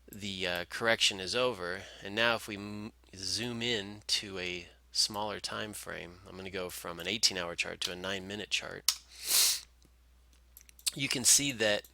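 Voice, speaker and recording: Male analyst, lowish pitch with a very sharp, bright edge, informal tutorial delivery, close and dry. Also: creaky, no echo. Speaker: market analyst presenter. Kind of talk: informal screen-share chart commentary.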